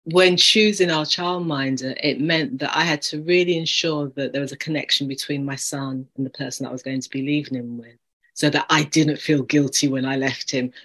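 A woman's voice: rumbling low, a bit bright. A woman co-hosting a casual podcast chat, speaking earnestly and using vivid images. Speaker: podcast co-host.

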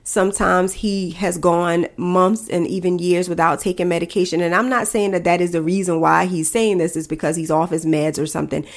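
A woman's voice: on the low side, steady tone, brisk, few pauses. A woman hosting her own podcast, talking frankly and chattily about the week's news while stuffed up with a head cold. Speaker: podcast host. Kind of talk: casual solo podcast commentary.

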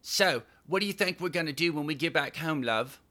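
Man voicing a bright, teasing young East London woman. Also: on the high side, animated, a little fast, voice pushed up. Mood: playful teasing. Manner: slangy, teasing, blunt. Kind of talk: audiobook character dialogue.

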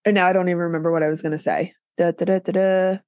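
A woman's voice: lowish voice, fairly even intonation, racing, darkish tone, no echo, clean studio sound. A woman hosting a podcast, stuffy from a cold, talking casually with lots of fillers. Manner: chatty, many fillers and self-interruptions. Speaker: podcast host writing a book.

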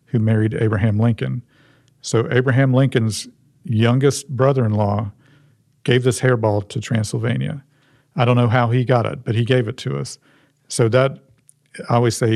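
A man, showing and explaining a museum object in a halting, restarting way. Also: mid-range voice, creaky, a little mellow, no echo, studio quality.

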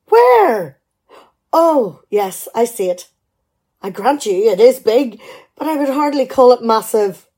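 A woman's voice: on the high side, sing-song, slow pace, mellow, exaggerated voice. A middle-aged woman, playing a shrewd, teasing, practical wife in a radio play. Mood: amused, practical. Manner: dry, bantering, probing. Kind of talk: amateur radio play dialogue.

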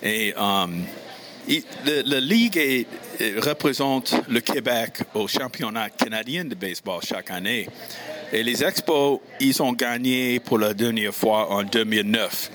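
A man from the United States with an American accent, a native English speaker hosting casually, halting and repeating words as he searches for phrasing. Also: mid-range voice, a bit bright. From United States, Missouri. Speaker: show host and interviewer. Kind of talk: casual on-location introduction and interview.

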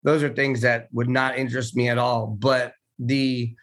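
A man in his thirties from Central Florida, a dealership owner answering interview questions in an earnest, halting way with fillers over a remote call. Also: mid-range voice, level tone. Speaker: car dealership owner, guest. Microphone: remote video call.

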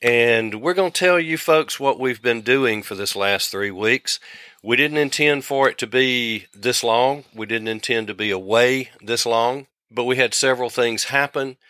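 A man in his sixties co-hosting a podcast in casual, folksy conversation, his voice lowered by the tail of a cold. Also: a little slow, sharp tone, dry recording, clean studio sound. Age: 60s. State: getting over a cold, voice lower than usual. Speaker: podcast co-host.

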